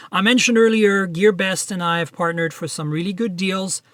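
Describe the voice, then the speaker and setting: A man's voice: high voice, fairly even intonation, normal speed, fairly bright tone, no echo, studio quality. A man hosting a tech podcast, talking in a chatty, upbeat way and running through plugs. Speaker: podcast host. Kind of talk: casual tech podcast chat.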